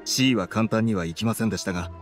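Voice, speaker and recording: A man's voice: lowish voice, fast, lightly acted. A man playing a loyal, assured intelligence agent in an anime game, speaking deferentially. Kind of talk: Japanese anime game voice acting. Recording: game music behind.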